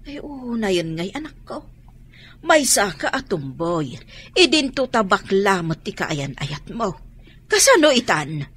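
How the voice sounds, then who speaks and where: A woman's voice: medium pitch, sing-song, a little slow, long pauses, fairly bright tone, slightly rough, full character voice. A woman playing a fussy, exasperated middle-aged mother in a radio drama. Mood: exasperated, scolding.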